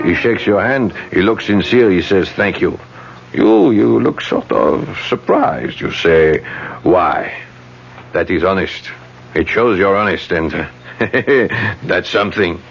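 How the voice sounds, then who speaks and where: A man's voice: sits low, animated, takes it slowly, gravelly, a little hiss or hum, exaggerated voice. An actor playing a chatty restaurant owner in a 1970s radio drama, rambling and chuckling as he tells his story. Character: chatty older male restaurant owner, friendly and talkative. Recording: dull old radio broadcast with music.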